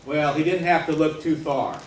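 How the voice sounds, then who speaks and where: A man telling a comic tall tale on stage in a theatrical, mock-serious way with dramatic pauses. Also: on the high side, steady tone. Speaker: humorous contest speaker. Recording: roomy hall, distant mic, faint noise.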